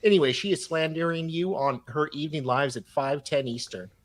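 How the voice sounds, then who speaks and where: A man's voice: a little high, a little fast. A man co-hosting a live show, reading emails aloud as a dramatic reading with casual asides.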